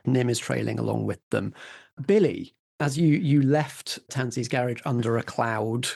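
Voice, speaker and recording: A man's voice: mid-range voice, moderate pace, gravelly, a little mellow. A man narrating scenes and giving rulings as the game master of a tabletop role-playing game.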